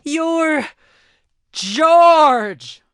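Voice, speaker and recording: A woman's voice: sits high, lively intonation, very slow, heavily acted. A woman playing a proud, competitive female gem warrior in a cartoon game, with a haughty, theatrical manner. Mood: earnest, pleading. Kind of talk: animated game voice acting.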